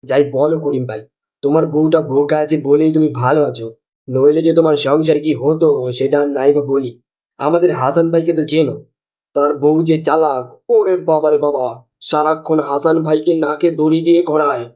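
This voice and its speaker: Casting: male actor as folksy, gossipy cartoon village pal, fairly high voice, slightly lo-fi recording. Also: steady tone, fairly quick, dark tone, natural voice. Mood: concerned, gossipy. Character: chatty, teasing village friend of the husband.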